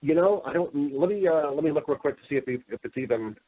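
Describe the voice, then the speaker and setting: A man's voice: a little high, expressive, fast talker. An American man telling his story casually as an interview guest, chatty and rambling, often repeating words. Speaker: interview guest, voice actor and entertainer. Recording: muffled, narrow phone-like sound.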